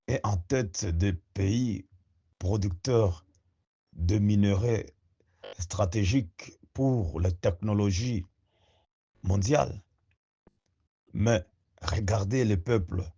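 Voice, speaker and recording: A man giving a slow, rousing spoken address to young listeners, full of rhetorical questions. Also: on the low side, dark tone, slightly rough. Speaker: activist speaker.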